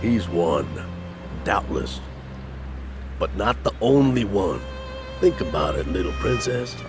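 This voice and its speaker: Avuncular older man, folksy audio drama character acted by a man, low pitch, lively intonation. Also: takes it slowly, over music. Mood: gently consoling. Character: kindly older male family friend, gentle, wise.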